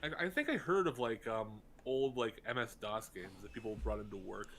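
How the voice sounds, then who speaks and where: A man co-hosting a casual chat, joking and quick to laugh. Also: fairly low pitch, a bit bright. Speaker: co-host reading chat. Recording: faint background noise.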